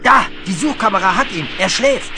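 Male sergeant, high voice, lively intonation, clipped, dutiful, brisk, 1970s radio play. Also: music in the background. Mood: brisk, alert. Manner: clipped, obedient replies. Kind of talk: 1970s radio drama acting.

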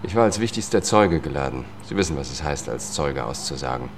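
An actor playing a weary, disgraced middle-aged lawyer who tells his story in a measured, understated way in a German radio drama. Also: very deep voice, animated, slight background noise. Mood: wry, confiding. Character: weary disgraced Washington lawyer, confiding and haunted. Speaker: first-person narrator and lead character. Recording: studio radio play with music.

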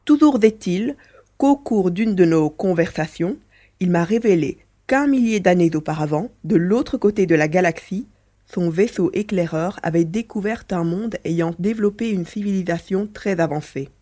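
A woman's voice: fairly low pitch, lively intonation, moderate pace, dark tone. A woman voicing a mischievous male mouse-beaver alien, a chatty, teasing adventurer in a French audio drama. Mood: storytelling.

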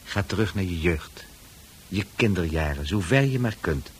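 An actor playing a calm, patient male psychiatrist in a radio drama, measured and coaxing. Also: low-pitched, slight background noise.

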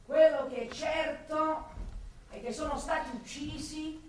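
An actress playing a sardonic, brooding police detective in a theatrical radio drama monologue. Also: female voice, high-pitched, fairly even intonation, measured pace. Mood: grim, focused. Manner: dramatic, shifting from snappy retorts to pensive musing. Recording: distant, very echoey room, faint noise.

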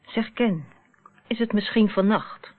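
A woman's voice: mid-range voice, slow. An actress playing an anxious young woman, questioning and uneasy, in a Dutch radio play. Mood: uneasy, curious. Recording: muffled, tinny old radio recording.

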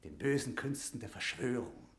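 An actor playing a stern, suspicious jailer knight in a classical radio drama, declaiming gravely in verse. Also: male voice, medium pitch, expressive, normal speed, a bit bright, natural voice. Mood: accusing. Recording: roomy, echoing radio-play sound.

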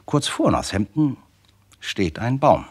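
An actor playing a suave narrator who addresses listeners formally in an old radio crime serial, in a husky voice. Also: male voice, mid-range voice, sing-song, slow, darkish tone, exaggerated voice. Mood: measured, confiding.

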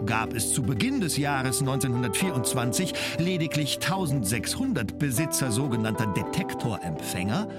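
An actor playing a podcast's know-it-all expert, announcing historical facts in a formal, precise way. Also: male voice, mid-range voice, big pitch swings, moderate pace, few pauses, loud music behind the voice, lightly acted. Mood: solemn, factual. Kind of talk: scripted documentary-style fact narration. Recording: loud musical backing under the voice.

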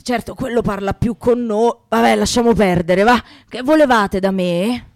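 A woman's voice: fairly high pitch, normal speed, darkish tone, no room sound, studio quality, lightly acted. A woman playing a world-weary, grudging damned aesthete, hesitant and blunt, in a radio comedy sketch.

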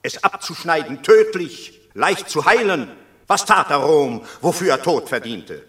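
A conciliatory Roman elder statesman in a classic radio play. His bright voice moves widely in pitch as he reasons with earnest, oratorical diction. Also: very animated, a little slow. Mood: impassioned appeal. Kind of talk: classic German radio drama acting.

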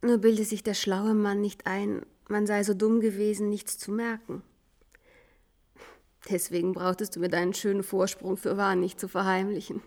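An actress playing a shrewd, self-assured, gently teasing woman in a period radio drama, articulate and knowing. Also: mid-range voice, level tone, moderate pace, close to an everyday voice.

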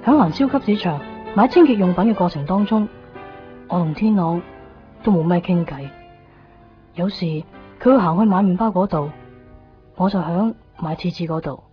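A woman's voice: fairly low pitch, lively intonation, normal speed, long pauses, close to an everyday voice. An actress playing an introspective, guilt-ridden young woman in a Cantonese radio drama, with a Hong Kong accent. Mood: pensive narration. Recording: dull 1990s radio recording, faint music.

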